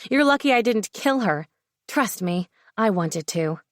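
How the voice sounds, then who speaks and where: Woman reading a sharp, defiant young heroine, dry, close voice. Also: mid-range voice, animated, a little slow, no room sound, close to an everyday voice.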